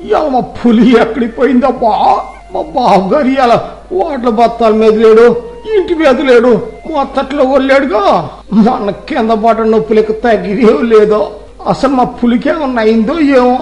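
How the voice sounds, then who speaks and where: A man playing a simple-minded village servant in a comic cartoon story, wheedling and flattering in a Telugu village dialect. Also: extremely high pitch, moderate pace, rough recording. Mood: plaintive, searching. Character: fawning, simple-minded village servant praising his master.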